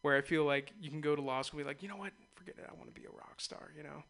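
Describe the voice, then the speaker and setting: A man's voice: fairly high pitch, racing, creaky, a bit bright. A man hosting a radio interview show, chatting loosely in a rambling way and laughing easily. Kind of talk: casual interview chat.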